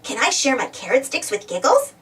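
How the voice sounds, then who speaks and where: A woman's voice: high-pitched, huge pitch swings, brisk, a bit bright, recorded from a distance, exaggerated voice. A woman voicing a friendly, enthusiastic young female puppy, sweet and admiring, in a storybook.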